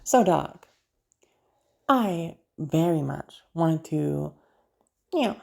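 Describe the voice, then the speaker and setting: Casting: actress as unhinged female asylum patient, low-pitched, possessive and flirty, halting teasing delivery, roleplay audio drama. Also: animated, vocal fry, darkish tone, exaggerated voice. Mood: coy, possessive. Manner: halting, teasing, with casual swearing.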